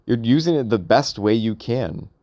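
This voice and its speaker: Halting man musing aloud, dry sound. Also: mid-range voice, expressive, dark tone, no room sound. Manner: hesitant, halting, rambling with repeated questions. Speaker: vlogger recording an audio log. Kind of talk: casual unscripted monologue, musing aloud.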